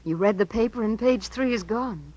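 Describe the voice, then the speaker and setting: An actress playing an eager, inquisitive young niece in a 1950s radio drama, earnest and insistent. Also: female voice, medium pitch, normal speed, a little mellow, muffled recording, natural voice. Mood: coaxing. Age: young adult. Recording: vintage 1950s radio broadcast.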